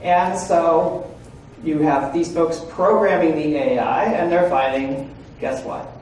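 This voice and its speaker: A man's voice: a little high, slow pace, darkish tone, a little hiss or hum. A man in his forties presenting to a room of legal professionals, often checking in with "right?" and "okay?". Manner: frequent "right?" and "okay?" check-ins with listeners. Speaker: presenter at a legal training seminar. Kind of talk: conversational lecture to an audience. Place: large room with an audience. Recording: echoey room, far from the mic.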